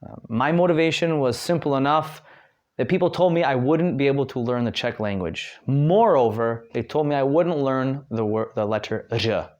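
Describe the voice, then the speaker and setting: A man's voice: mid-range voice, normal speed, a little mellow. A young American man from Detroit giving a casual spoken lesson, chatty, with many fillers and restarts. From Detroit, United States. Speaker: guest language learner.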